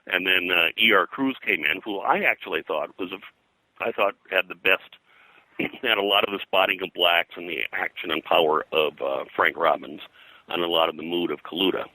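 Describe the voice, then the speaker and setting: An older man reminiscing as an interview guest in a halting, digressive way, often clearing his throat. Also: deep voice, bright, forceful tone, dry recording, rough recording. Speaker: interview guest, comics historian.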